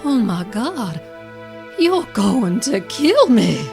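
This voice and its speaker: Slow, hushed, wronged woman. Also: a little high, sing-song, creaky, over music, exaggerated voice. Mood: fearful, defiant. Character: wistful, wronged woman confronting her captor. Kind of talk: audio drama acting.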